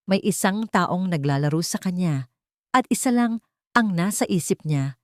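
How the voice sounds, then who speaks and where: A woman narrating a dramatic audio story in a steady, dramatic storytelling manner. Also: mid-range voice, dry recording, clean studio sound.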